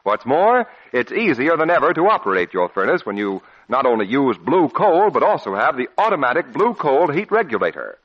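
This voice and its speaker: A man's voice: medium pitch, big pitch swings, brisk, hardly any pauses, breathy edge. A man announcing a commercial in 1940s radio style, with a polished, persuasive sales delivery. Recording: muffled old radio broadcast recording.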